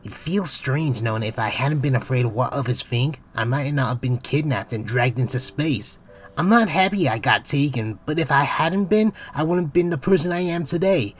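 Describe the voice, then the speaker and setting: A woman's voice: rumbling low, expressive, moderate pace, breathy edge, soft background music, full character voice. A woman playing an anxious but earnest teenage boy space captain in a comic dub. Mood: reflective.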